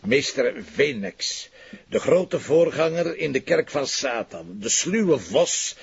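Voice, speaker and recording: An actor playing a gruff, sardonic Flemish police commissioner in a television drama, with a gravelly, commanding delivery. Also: male voice, mid-range voice, animated, takes it slowly, full character voice. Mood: accusing, sardonic. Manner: sarcastic, commanding, swearing. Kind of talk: naturalistic television drama acting. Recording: dull, lo-fi TV audio.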